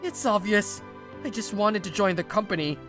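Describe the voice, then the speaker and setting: A woman's voice: medium pitch, normal speed, music in the background, lightly acted. A woman voicing a stubbornly guarded, exasperated young male office recruit in a manga dub, narrating and speaking his lines defensively. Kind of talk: dramatized first-person story narration and dialogue.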